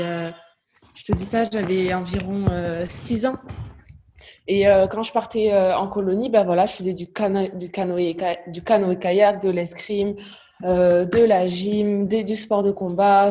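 Warm-voiced woman with a Toulouse accent. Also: medium pitch, measured pace. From southwest France, near Toulouse. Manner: lively, with frequent hesitations and restarts. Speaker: guest, sports coach. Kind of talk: casual remote interview. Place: home, over a video call. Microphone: video call.

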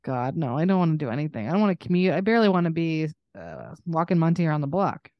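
A woman's voice: deep voice, fast, no echo, dull audio. A woman co-hosting a podcast in casual chat, talking in a chatty, joking, self-deprecating way. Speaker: podcast co-host.

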